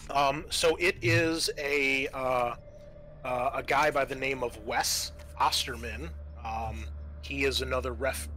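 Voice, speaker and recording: A man with a bright, forceful voice of medium pitch leads a tabletop game as game master. He improvises the narration haltingly, thinking aloud, with music playing behind him.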